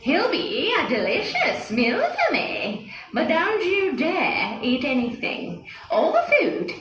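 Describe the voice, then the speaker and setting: Woman acting a shrill, wicked old witch. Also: huge pitch swings, slow pace, echoey room, crowd noise, full character voice. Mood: menacing, gloating. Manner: commanding, threatening. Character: wicked old witch, greedy, bossy and menacing. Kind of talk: children's storybook audio.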